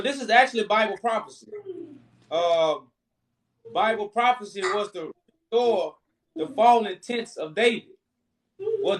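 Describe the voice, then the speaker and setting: A man's voice: high-pitched, fairly bright tone, low-quality recording. A man hosting a live call-in debate stream, repeating phrases for emphasis as he talks with callers.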